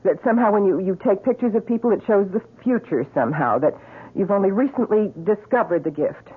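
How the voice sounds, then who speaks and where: A woman's voice: mid-range voice, animated, fairly quick, slightly rough. An actress playing an anxious, pleading young woman in an old-time radio drama. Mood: urging. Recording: muffled old radio broadcast recording.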